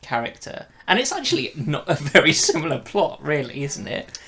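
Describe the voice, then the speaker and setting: A man's voice: a little high, very animated, normal speed, a crowd in the background. A man hosting a casual review discussion, talking conversationally. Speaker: podcast review host.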